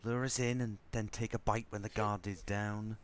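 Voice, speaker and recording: A man's voice: lowish voice, little pitch movement, moderate pace, a little hiss or hum, close to an everyday voice. A man playing a sardonic, grudgingly fond spaceship crewman in an audio drama, rambling as he goes. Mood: grudging, charmed. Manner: sardonic, rambling, softening into fondness. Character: snarky male spaceship crewman, grudgingly fond, talking to baby.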